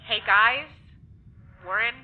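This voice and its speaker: A woman's voice: on the high side, takes it slowly. An actress playing a sarcastic, abrasive and unrepentant woman in an audio drama, delivered dryly and bluntly. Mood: tentative. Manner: dry, sardonic, blunt. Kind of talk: naturalistic audio drama acting. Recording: muffled lo-fi sound with clear noise.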